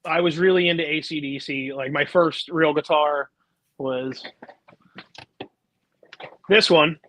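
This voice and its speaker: A man's voice: fairly high pitch, steady tone, slow pace, fairly bright tone. A young man chatting casually as a musician guest in an interview, informal and easygoing. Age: young adult. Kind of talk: casual chat.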